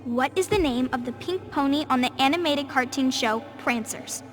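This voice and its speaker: Woman as a very high-voiced, bossy little girl. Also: moderate pace, faint music behind, natural voice. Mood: bossy, playful. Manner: earnest, precise, know-it-all. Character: bright, bossy, bookish little girl who loves bugs. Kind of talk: naturalistic video game acting.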